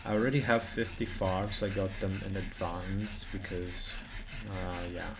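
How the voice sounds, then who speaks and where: Slow, low-voiced Italian streamer. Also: male voice, background noise. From Italy. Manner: relaxed, chatty, laughs easily. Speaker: speedrunner streamer. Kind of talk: casual live-stream commentary.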